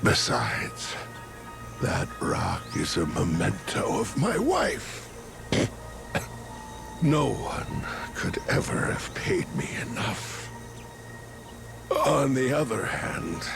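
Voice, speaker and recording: Dying old treasure hunter in a game, very croaky, halting and breathy, wistful, music behind. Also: male voice, lowish voice, big pitch swings, exaggerated voice. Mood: rueful. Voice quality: breathy, gravelly. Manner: halting, labored speech. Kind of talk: dramatic game cutscene acting. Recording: music under the voice.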